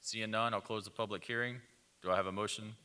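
A man presides over a city council meeting from a desk mic in the chamber. His voice is lowish yet very bright and forward. He moves through motions and hearings in brisk, practiced phrasing. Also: steady tone, clean tone. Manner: brisk, routine procedural phrasing. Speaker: mayor chairing a council meeting. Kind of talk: formal meeting procedure. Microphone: desk microphone.

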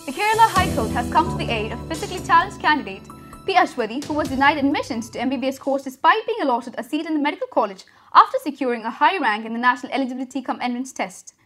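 A young woman reads the news at a quick clip in a high, light voice. Her delivery is brisk and even, like a student anchor working through a script, with soft music playing underneath.

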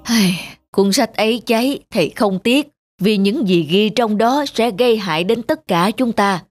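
A wise old bear wizard played by an actor from a children's cartoon. He is gentle and earnest, teaching with patience. Music plays behind him. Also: medium pitch, a little slow, natural voice. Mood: concerned, kindly. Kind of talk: dubbed children's moral cartoon.

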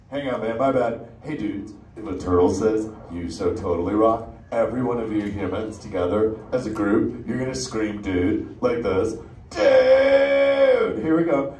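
A man's voice: medium pitch, lightly acted. A man voicing a laid-back surfer-dude male sea turtle in a live interactive audience show, talking in easygoing surfer slang. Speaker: live theme park show character. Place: indoor theater with an audience. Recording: echoey theater from far off, crowd noise.

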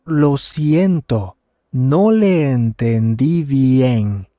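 A man's voice: medium pitch, warm tone, no echo, studio quality. A man playing a polite traveler in a scripted language lesson, enunciating each phrase with care. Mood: polite, friendly. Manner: deliberate, carefully enunciated. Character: polite Canadian traveler practicing his Spanish. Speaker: voice actor in language lesson dialogues. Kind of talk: scripted learner dialogue, each phrase said slowly then at normal speed.

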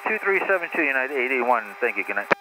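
A man's voice: medium pitch, very animated, quick pace, pushed and bright. Male airline pilot over noisy radio. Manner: clipped, formulaic phrasing. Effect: aircraft radio. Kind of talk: radio callouts to air traffic control. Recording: noisy aircraft radio transmission.

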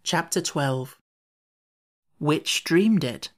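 A woman reading a children's classic as an audiobook narrator, in an expressive storytelling manner. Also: sits low, extremely slow.

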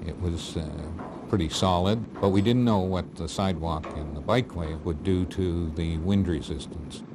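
An older man in a seated interview, talking in a relaxed, reflective way with some fillers. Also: sits low, moderate pace, noisy background. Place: indoor interview set.

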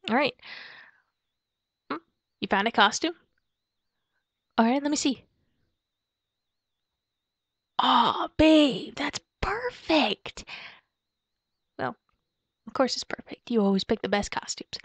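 A young woman playing a playful, excitable girlfriend in a roleplay audio, speaking softly and hesitantly as if musing aloud to her partner. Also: high voice, slow pace, pauses often, no echo, exaggerated voice. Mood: affectionate, cheerful. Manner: giggly, cutesy, soft and hesitant, musing aloud. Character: playful, excitable werewolf girlfriend shopping for Halloween costumes. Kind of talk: girlfriend roleplay ASMR, improvised-sounding monologue to a partner. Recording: dull lo-fi close dry recording.

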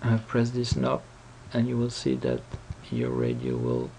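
Man, tutorial presenter, hesitant, unhurried pace, vocal fry. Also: extremely low pitch, very animated, warm tone, slight background noise, poor audio. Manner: hesitant, halting explanation. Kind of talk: casual unscripted screen-recording walkthrough.

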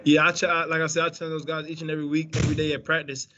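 A young man fields questions at a post-game press conference over a video call, relaxed and rambling, with plenty of fillers and restarts. His voice sits in an even, narrow pitch band. The audio is dull and lo-fi, like a muffled webcam. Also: rapid-fire, a crowd in the background. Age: young adult. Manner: laid-back, many fillers and restarts. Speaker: college quarterback. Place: indoor press room, branded backdrop.

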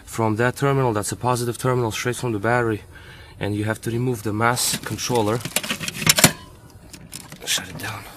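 A man's voice: medium pitch, fairly even intonation, moderate pace, a little hiss or hum. A man working on a car engine outdoors, explaining each step in a casual repair walkthrough and muttering to himself as he goes. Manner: talks to himself while working, short muttered remarks. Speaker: home mechanic. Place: outdoors at a car's engine bay.

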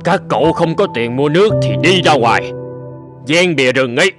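A man's voice: a little high, animated, moderate pace, lightly acted. A man playing a menacing, mocking male tavern regular who taunts in a cartoon. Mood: taunting. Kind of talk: cartoon dub acting. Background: music.

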